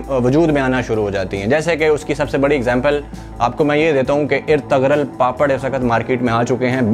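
A young man from Pakistan with a Pakistani Urdu accent, presenting current-affairs commentary in a conversational way with fillers, talking straight to viewers. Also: mid-range voice. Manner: conversational, many fillers, addresses viewers directly. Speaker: news channel presenter. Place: studio in front of a green screen. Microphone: clip-on lapel mic. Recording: faint background music.

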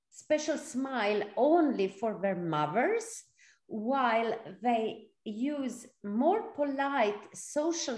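A middle-aged woman from Serbia and Sweden, teaching conversationally as a seminar facilitator, in short chunks with pauses, on a video call. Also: fairly high pitch, a little mellow. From born in Serbia, grew up in Sweden. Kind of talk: conversational teaching talk for live interpretation. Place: indoor room, video call. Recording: echoey room.

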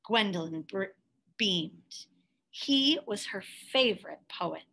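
A woman reading a picture book aloud, with careful, expressive storytelling phrasing. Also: mid-range voice, takes it slowly, vocal fry. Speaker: storybook reader.

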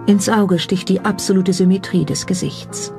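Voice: medium pitch, steady tone, normal speed, gravelly. A narrator reading scripted documentary commentary in German voice-over style. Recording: voice over background music. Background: background music.

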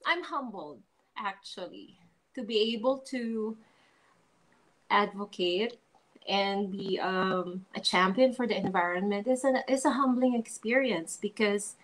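A woman from the Philippines with a Filipino accent talks over a video call. Her voice sits in a middle pitch, and she speaks earnestly, often stopping to search for words. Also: fairly bright tone, rough recording. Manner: earnest, hesitant with frequent ums. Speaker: interview guest, pageant titleholder. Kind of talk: casual interview, mixing English and Tagalog.

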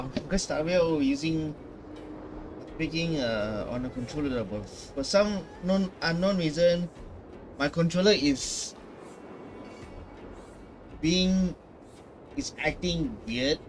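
A man's voice: fairly high pitch, expressive, drawn-out words, long pauses, noisy background. A man giving casual gaming playthrough commentary, rambling and repeating himself. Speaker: gaming streamer.

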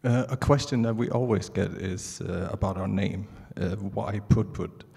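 A middle-aged Danish man with a Danish accent, giving a prepared artist's talk on stage in a halting, measured way. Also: lowish voice, gravelly, dark tone, breathy edge. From Denmark. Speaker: artist presenting at a conference. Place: large indoor theatre stage. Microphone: handheld microphone. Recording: reverberant auditorium PA sound.

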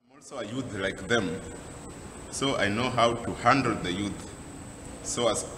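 A man's voice: lowish voice, unhurried, noisy background. A man, speaking earnestly as a teacher about his work. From Kenya. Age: 20s. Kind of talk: interview statement. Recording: echoey hall, music behind.

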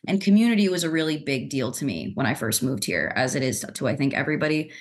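A young woman from Costa Rica, a restaurant professional, telling a story as a podcast guest in a relaxed way, laughing easily. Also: extremely low pitch, quick pace. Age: young adult. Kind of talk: storytelling in conversation.

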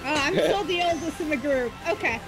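High-voiced giggly woman, loud game music. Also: a little fast. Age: 30s. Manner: apologetic, giggly newcomer to the game. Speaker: co-player. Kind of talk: casual gaming chat.

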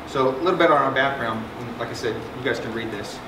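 A man's voice: medium pitch, fast talker. Middle-aged guy giving a talk, jokey and casual with lots of uhs, far off mic in a big echoey room. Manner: conversational, joking, frequent fillers and restarts. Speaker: psychiatrist presenting at a symposium. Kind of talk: conference talk. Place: conference room with projector screen. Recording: echoey room, distant mic, air conditioning hum.

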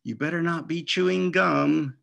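Storybook mom, acted by a man: firm, exclamatory, raised pitch. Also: unhurried, gravelly, fairly bright tone, exaggerated voice. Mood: suspicious, scolding. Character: mother, cheerful baker turning sternly suspicious.

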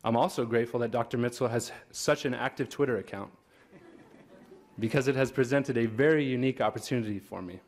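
A young man giving a commencement speech at a podium on a large auditorium stage, earnest with light jokes. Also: mid-range voice. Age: young adult. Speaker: graduating student speaker. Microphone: podium microphone.

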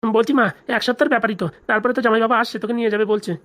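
A woman's voice: fairly high pitch, flat delivery, very fast, a bit bright, natural voice. A woman voicing a blustering middle-aged father in a comic cartoon, grumbling and fussy. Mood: reassuring, protective.

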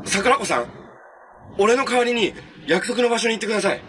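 An upbeat young hero from a TV superhero drama, with a bright, high voice that moves in lively swings. He comes across as earnest, boyish and kind-hearted. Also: brisk, husky, full character voice. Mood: urgent, determined. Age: young adult. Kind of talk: live-action TV superhero drama acting.

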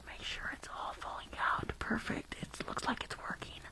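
Whispering woman in dentist ASMR roleplay. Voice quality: breathy whisper with creaky edges. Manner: halting, repetitive, dismissive reassurance. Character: rude, shady, dismissive woman dentist.

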